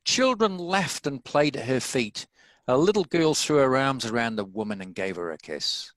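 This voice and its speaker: A man's voice: medium pitch, lively intonation, moderate pace, fairly bright tone, dry recording. A man reading scripted story narration as the narrator of a table read.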